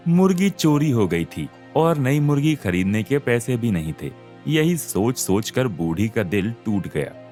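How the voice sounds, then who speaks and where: A man narrating a scripted animated moral story. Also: mid-range voice, unhurried. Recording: music behind the voice.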